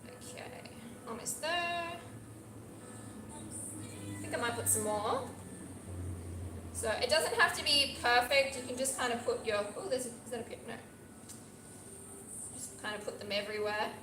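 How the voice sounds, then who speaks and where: A woman's voice: fairly high pitch, animated, a little slow, long pauses, roomy sound, background noise. A woman from Australia with an Australian accent, chatting encouragingly as she demonstrates a baking recipe in a teaching kitchen. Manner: chatty, encouraging, talks while working with her hands. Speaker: pastry instructor. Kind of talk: live cooking demonstration. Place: teaching kitchen at a pastry academy.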